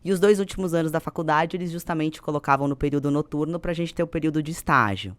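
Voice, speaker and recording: Casting: Brazilian female executive as podcast guest, smooth clear voice, dry close sound, conversational storyteller. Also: deep voice, quick pace, dry recording.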